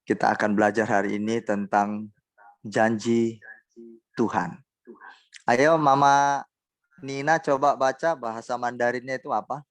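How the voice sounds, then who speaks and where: A man preaching in a relaxed, joking way and calling on church members by name during an online service. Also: medium pitch, a little slow, long pauses, dry recording. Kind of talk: informal sermon and group chat.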